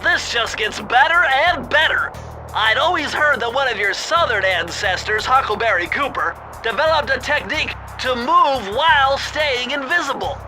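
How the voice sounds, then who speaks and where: A man playing a nerdy, excitable, brainy turtle sidekick in a cartoon video game, talking in a precise, wordy way. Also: very high voice, moderate pace, low-quality recording. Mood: eager, explanatory. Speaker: mission guide giving tutorial instructions. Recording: music behind the voice.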